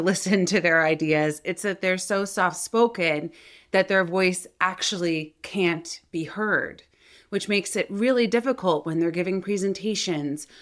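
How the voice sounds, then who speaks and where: A woman's voice: fairly low pitch, fairly bright tone. A woman speaking as a voice coach and host, explaining things to viewers in an articulate, conversational way. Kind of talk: instructional talk to viewers.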